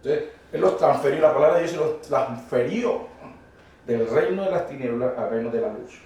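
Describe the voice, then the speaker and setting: A man's voice: mid-range voice, moderate pace, mellow, recorded from a distance. A man from Colombia preaching a fervent, emphatic sermon to a congregation that answers back, caught by a room microphone in a church hall. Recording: very echoey hall, voice from afar.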